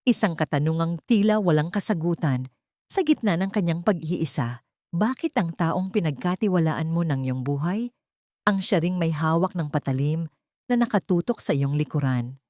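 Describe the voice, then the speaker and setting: A woman narrating a dramatic audiobook in a steady, somber tone. Her voice is low and mellow, and the close-miked recording is dry. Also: no room sound.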